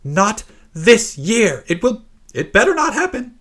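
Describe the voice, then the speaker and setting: A man's voice: high voice, very animated, unhurried, fairly bright tone, pitched above the performer's own voice, heavily acted. A man voicing a stern, exasperated mother who lays down rules in an emphatic, scolding way.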